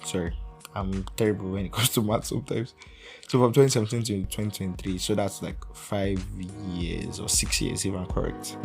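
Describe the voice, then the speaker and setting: A man's voice: lowish voice, vocal fry. A young man in his early twenties hosting a casual solo podcast, rambling, correcting himself and laughing often. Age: young adult. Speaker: podcast host.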